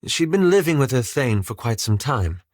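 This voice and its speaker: A man playing a courteous, reserved elven wizard in a fantasy game, with formal, articulate and measured delivery. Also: mid-range voice, big pitch swings, a little mellow, no echo, studio quality. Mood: reflective, sombre. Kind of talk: scripted fantasy game dialogue.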